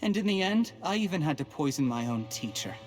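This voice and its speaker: A smug cold official. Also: male voice, fairly high pitch. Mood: bitter. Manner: calm, smug and measured. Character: cold ambitious young male official, poisoner. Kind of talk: game cutscene voice acting.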